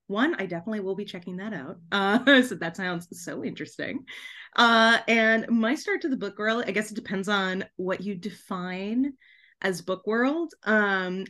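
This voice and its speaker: A woman with a medium-pitched voice speaks as a guest author on a podcast. She chats casually and informally. Her manner is bubbly, giggly and effusive. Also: a bit bright. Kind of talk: casual chat.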